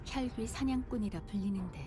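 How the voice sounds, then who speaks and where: An actress playing a composed, polite video game character who speaks formally and matter-of-factly. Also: female voice, fairly high pitch, very fast, warm tone, close to an everyday voice. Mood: composed, informative. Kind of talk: scripted video game voice acting. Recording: game music behind the voice.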